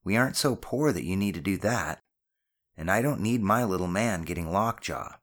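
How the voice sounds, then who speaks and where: A man voicing a caring, gently stern and slightly bashful mother in an audiobook, chiding with affection. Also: low voice, lively intonation, normal speed, pitched above the performer's own voice. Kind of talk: audiobook character dialogue.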